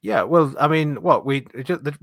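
A man's voice: a little high, normal speed, creaky, fairly bright tone, no room sound, studio quality. A man hosting a casual podcast in a chatty, jokey manner. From northern England. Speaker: podcast host.

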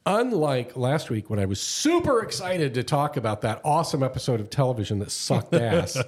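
Podcast co-host, male, husky and lively, stuffy and coughing, jokey banter. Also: mid-range voice, moderate pace. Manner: joking, animated, self-deprecating. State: has a cough and stuffy nose. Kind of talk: casual chat.

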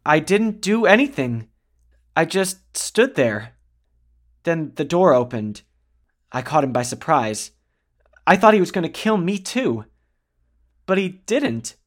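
A man's voice: fairly high pitch, big pitch swings, slow pace, long pauses. An actor playing a nervous young pizza delivery man giving witness in an audio drama.

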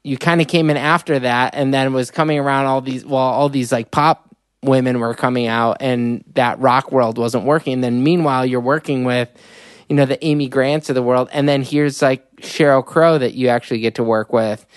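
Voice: mid-range voice, fairly even intonation, normal speed, clear, resonant tone, dry recording. A podcast host asking casual interview questions, thinking aloud and circling through fillers before landing the question.

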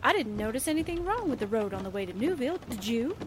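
Lady doing a slangy wisecracking girl reporter, old radio play style, highish voice, rain and car noise behind her. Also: very animated, quick pace. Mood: wry, grumbling. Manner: wry, slangy quips. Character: wisecracking, slangy newspaperwoman sidekick, brassy and grumbling. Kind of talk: 1940s-style radio drama acting. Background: rain and vehicle sounds.

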